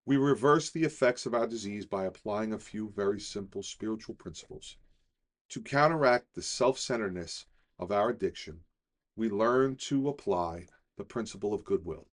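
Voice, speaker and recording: A middle-aged man reading a daily recovery meditation in a calm, deliberate way, slowing on key phrases. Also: lowish voice. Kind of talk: measured scripted reading.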